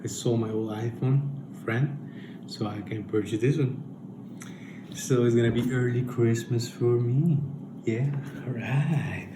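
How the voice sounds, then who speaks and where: A man presenting a comic unboxing video in a casual vlog style, with a halting, understated delivery and drawn-out phrases. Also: mid-range voice. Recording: roomy echo, loud background noise.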